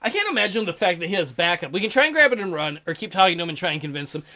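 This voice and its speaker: A man's voice: sits high, sing-song, very fast, bright, forceful tone. A young man giving casual, joking let's-play commentary, swearing and hesitating with fillers.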